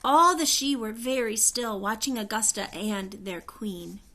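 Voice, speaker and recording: Bright, high-voiced woman reading a storybook. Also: a little slow. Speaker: storybook reader. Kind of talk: expressive scripted read-aloud narration for children.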